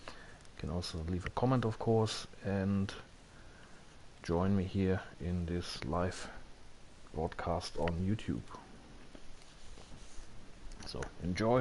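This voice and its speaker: Man, lowish voice, casual livestream host, hesitant thinking aloud, faint background hiss. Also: darkish tone. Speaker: live streamer hosting a video game broadcast. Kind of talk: casual gaming livestream chat.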